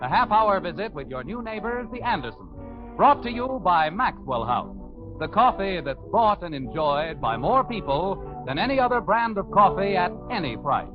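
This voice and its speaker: A man's voice: sits high, sing-song, normal speed. A man with an American accent, announcing and narrating in scripted 1950s radio style. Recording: muffled old radio recording. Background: music.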